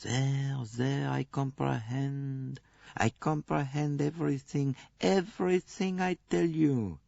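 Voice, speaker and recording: Precise gentle detective, as a man plays him, lilting voice, husky, dull sound. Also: medium pitch, sing-song, normal speed, no echo, voice pushed up, heavily acted. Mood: calm. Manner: soft, precise, coaxing. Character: fastidious Belgian detective, older man, gentle. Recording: dull lo-fi recording.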